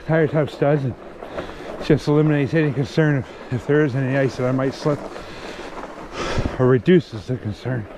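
A man casually narrating his fat bike ride outdoors, thinking aloud in a relaxed, halting way. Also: medium pitch, background noise. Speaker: vlogger riding a fat bike. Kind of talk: casual narration while riding. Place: outdoors on snowy wooded trails.

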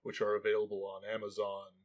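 A man's voice: on the low side, monotone, fast talker. A man reading scripted podcast credits as an announcer.

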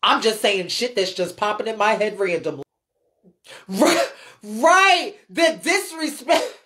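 A woman's voice: mid-range voice, lively intonation, slow pace, a bit bright. A woman ranting off the cuff on a livestream, blunt and emphatic, swearing freely in heavy slang and repeating phrases to drive points home. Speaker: livestream host, ballroom scene commentator. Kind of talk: unscripted livestream rant.

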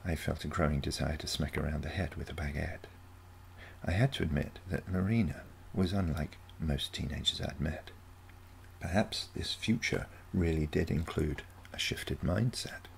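Male story narrator, low and gravelly, for first-person fiction, faint noise behind. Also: normal speed. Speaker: story reader.

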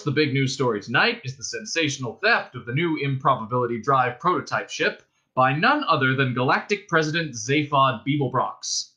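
Brash sci-fi radio newsreader, put on by a male narrator: squawky, bright, swooping upbeat patter. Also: on the high side.

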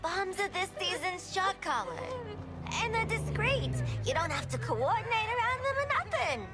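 Game villainess: high, swooping sing-song, gushing, flippant, slightly unhinged. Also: female voice, very animated, moderate pace, music in the background. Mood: pleading. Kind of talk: video game cutscene acting.

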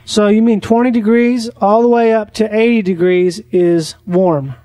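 Man, high voice, deadpan prank caller, naive, rambling, slow on the uptake. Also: no echo. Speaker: prank caller on a comedy podcast. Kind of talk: deadpan prank phone call.

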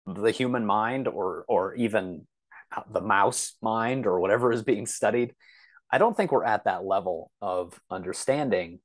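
A man giving conversational interview answers as a podcast guest, explaining things in a thoughtful, hesitant way. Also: on the low side. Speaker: podcast guest, author and computer science instructor. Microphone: video call.

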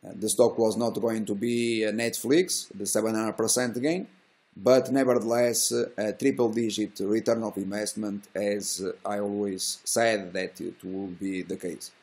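Halting middle-aged man, low mellow non-native voice. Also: fairly even intonation. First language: not English. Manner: halting, fragmented phrasing with drawn-out hesitations; self-assured, boastful about results. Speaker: stock trading educator and host. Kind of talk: unscripted screen-share market commentary. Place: small indoor room.

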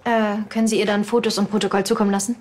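A woman's voice: mid-range voice, level tone, very fast, background noise, close to an everyday voice. A young woman playing an eager, earnest police officer in a TV crime drama. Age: young adult.

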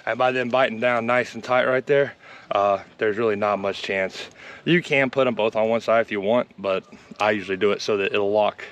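A middle-aged man giving a relaxed, matter-of-fact how-to demonstration outdoors in a yard, thinking aloud as he works on camera. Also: on the low side, moderate pace. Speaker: homesteader demonstrating a repair. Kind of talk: casual how-to narration.

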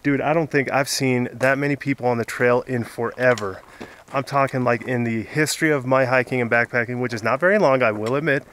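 A man's voice: mid-range voice, brisk, fairly bright tone, a little hiss or hum. Tired, chatty man on a mountain summit. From Southern California. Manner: chatty, tired and laughing, filler-heavy. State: exhausted after a hard climb. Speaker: hiking vlogger. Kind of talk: casual vlog talk to camera. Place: outdoors on a forested mountain summit. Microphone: handheld camera at arm's length.

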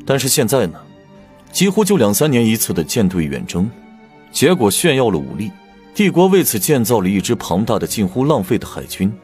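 Audiobook drama prince: lowish register, swooping intonation, grave, candid, weighed down by worry. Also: male voice, very animated, brisk, a little mellow, faint music behind. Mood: grave, scornful. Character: imperial prince, grave, troubled, candidly lecturing on state finances.

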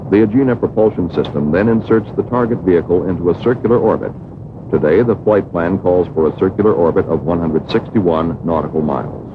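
An American man reading a scripted educational film narration in a measured, formal manner. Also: low-pitched, noisy background. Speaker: documentary narrator. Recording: muffled, worn 1960s film soundtrack.

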